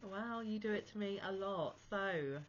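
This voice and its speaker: A woman's voice: medium pitch, a little slow, lo-fi sound. Chatty, under-the-weather crochet vlogger woman. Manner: chatty, self-deprecating, rambling asides. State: unwell, chesty and a bit wheezy. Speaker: crochet channel host. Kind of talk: casual chat to camera.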